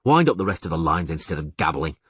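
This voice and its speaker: A man voicing a gruff, curt male monster hunter who scolds bluntly and dryly in an audiobook. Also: a bass voice, very animated, fast talker, a bit bright, dry recording, pitched below the performer's own voice, full character voice. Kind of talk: audiobook character voice.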